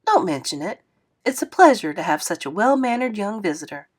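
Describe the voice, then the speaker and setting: A woman voicing a kind but scolding motherly woman who fusses over a child in a lively way. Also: mid-range voice, a little fast. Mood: sweet. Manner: warm, animated, fussing.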